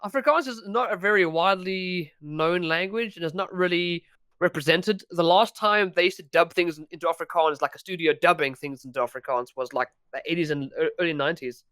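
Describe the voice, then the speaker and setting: A man's voice: a little high, brisk, fairly bright tone, smooth, dry recording. Chatty young South African man. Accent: South African English. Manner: chatty, restarts and laughs often. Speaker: podcast guest. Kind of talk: casual podcast chat.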